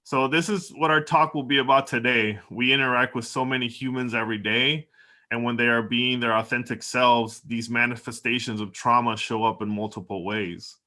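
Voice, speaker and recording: A man from El Salvador opens a panel discussion and speaks in an earnest, reflective way, as if reading from prepared notes. His voice has a bright, forward edge, and his pitch sits in the middle range. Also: fairly even intonation, moderate pace. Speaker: moderator, doctoral student. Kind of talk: prepared opening remarks.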